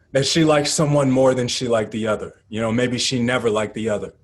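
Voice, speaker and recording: A man acting a weary, guarded activist in a screenplay table read, subdued, terse and halting. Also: mid-range voice, close to an everyday voice. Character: weary, guarded male animal rights activist.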